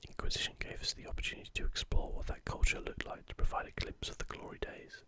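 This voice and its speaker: A man speaking in a breathy, unvoiced whisper, giving soft ASMR commentary as he hosts a video. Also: fast talker.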